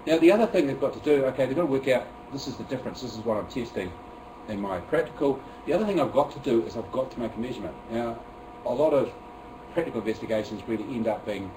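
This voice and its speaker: A man with a New Zealand accent talks teachers through a classroom method, chatty and self-deprecating. He speaks quickly and is picked up from a distance. Steady room noise runs under his voice. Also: mid-range voice. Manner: chatty and self-deprecating, many fillers and restarts. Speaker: teacher presenting to fellow teachers. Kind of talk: workshop presentation. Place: room with an audience.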